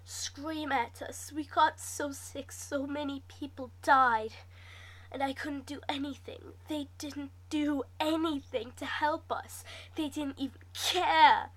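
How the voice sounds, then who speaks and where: Woman voicing a high, anguished orphan girl. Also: fairly even intonation, slow pace, a bit bright, poor audio. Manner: earnest, anguished, higher than the narration. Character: haunted orphan girl, wounded, confiding, guilt-ridden.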